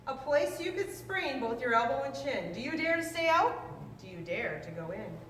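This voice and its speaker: A woman reading a children's rhyming book aloud in a lively, sing-song way. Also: sits high, moderate pace. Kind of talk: read-aloud storytelling. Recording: roomy, distant mic, faint noise.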